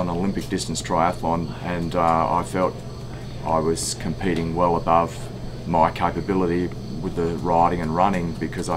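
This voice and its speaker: A man in his forties with an Australian accent speaks in a deep register. He gives interview testimony with measured, rather formal wording. Clear outdoor background noise surrounds the voice. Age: 40s. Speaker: festival attendee interviewee. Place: outdoors by a painted wooden wall.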